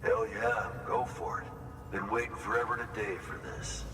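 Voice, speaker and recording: An actor playing a gruff, folksy outpost worker in a video game, speaking plainly and bluntly. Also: male voice, fairly low pitch, measured pace, close to an everyday voice. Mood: gruff, casual. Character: gruff, folksy grown man working a frontier outpost. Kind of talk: video game cutscene acting. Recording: roomy echoing hall, distant mic, ambient noise.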